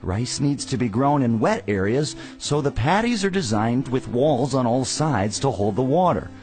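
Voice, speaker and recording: A man's voice: medium pitch, lively intonation, moderate pace, darkish tone, lightly acted. A man playing an earnest documentary narrator, reading scripted educational narration in a mock-serious cartoon. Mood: informative.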